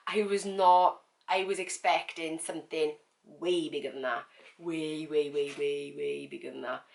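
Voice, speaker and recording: A woman talking casually to camera in a vlog, with a croaky voice and a chatty, frank manner full of fillers and repeats. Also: low-pitched, steady tone, slow. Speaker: vlogger.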